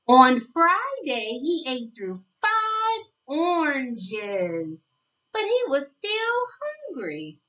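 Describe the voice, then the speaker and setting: A woman narrating a read-along storybook for young children in a deliberate, sing-song way. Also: high-pitched, huge pitch swings, sharp tone, distant mic, lo-fi sound.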